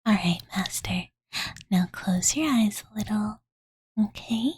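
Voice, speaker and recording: Woman doing a cute dragon girl asmr, super breathy whisper, slow n playful, kinda ditzy, close mic bedtime story vibe. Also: mid-range voice, a little mellow, no room sound. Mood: soothing, teasing. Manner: ditzy valley-girl filler talk, cutesy third-person self-reference. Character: cozy, playful, doting young dragon girl. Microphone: close Blue Yeti condenser mic.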